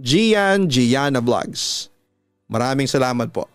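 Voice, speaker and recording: A man's voice: mid-range voice, lively intonation, slow pace. A man with a Filipino accent reading a listener's letter as scripted dramatic narration, as the host of a radio drama program. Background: background music.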